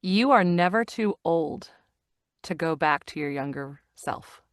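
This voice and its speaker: A woman's voice: sits low, lively intonation, no echo. Middle-aged woman guest, bubbly and laughing, dry close studio sound. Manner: bubbly, laughs a lot, halting with fillers. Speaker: guest, voice actor and narrator. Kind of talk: casual video-call interview. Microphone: video call from a home recording studio.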